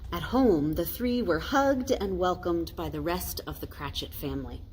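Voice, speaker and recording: An American woman, a pastor, reading a children's story aloud in a deliberate, explanatory way. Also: fairly low pitch. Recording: faint noise, ambient sound.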